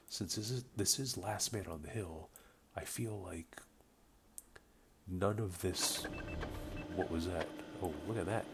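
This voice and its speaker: Man, deep voice, unhurried, a little off from a cold, rambling replay caster, faint hum behind. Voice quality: voice slightly off from a cold. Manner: relaxed, rambling with many ums. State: getting over a cold. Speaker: game caster. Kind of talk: casual play-by-play commentary. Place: home room with a buzzing light.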